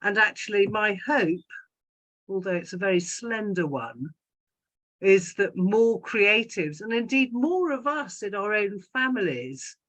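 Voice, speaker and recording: Bright, emphatic older woman. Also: mid-range voice, animated, measured pace, lo-fi sound. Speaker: panellist. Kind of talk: thoughtful panel discussion.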